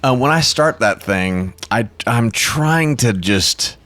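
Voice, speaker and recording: A man's voice: medium pitch, lively intonation, slow pace, a bit bright, no room sound. Guy hosting a podcast, goofy and rambly, dry close mic. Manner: playful, joking, rambling. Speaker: podcast host. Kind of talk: casual podcast chat.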